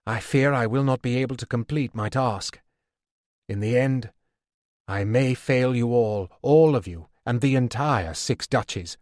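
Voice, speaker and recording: A man reading the part of a weary, failing aging king, speaking low and hushed with a heavy, slow delivery. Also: no room sound, studio quality, lightly acted. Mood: weary, grave.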